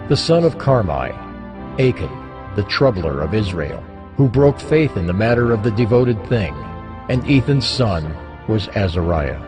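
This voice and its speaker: A man reading scripture as scripted, dramatized narration, in a solemn, measured manner with deliberate pauses. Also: lowish voice, big pitch swings. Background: background music.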